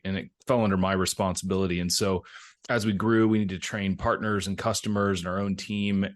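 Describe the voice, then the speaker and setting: Low-voiced middle-aged man being interviewed. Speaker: tech company CEO, interview guest. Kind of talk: conversational explanation.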